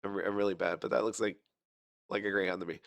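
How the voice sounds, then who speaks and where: Rambling low-voiced man with a cough. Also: steady tone, fast talker, creaky, no room sound. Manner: rambling, self-deprecating, many fillers and restarts. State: sick, fighting a cough. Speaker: gaming channel host. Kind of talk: casual gameplay commentary.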